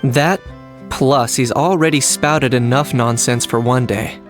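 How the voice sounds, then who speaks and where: A man's voice: mid-range voice, a little slow, faint music behind, lightly acted. A man playing a composed, decisive, dry-witted character in an anime game.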